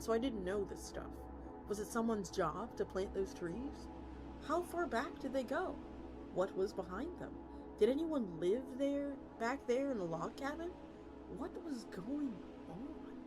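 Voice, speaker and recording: A woman streamer reading a story aloud in a casual, conversational way. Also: a little high. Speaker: VTuber streamer. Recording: music playing behind the voice. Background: background music.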